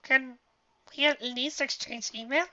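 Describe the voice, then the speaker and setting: Cartoon inventor: woman as a needy, friendless male schemer, bright tone, lo-fi recording. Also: high-pitched, fairly even intonation, a little slow, pitched above the performer's own voice, exaggerated voice. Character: lonely, needy male evil inventor, easily sentimental. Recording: dull lo-fi recording.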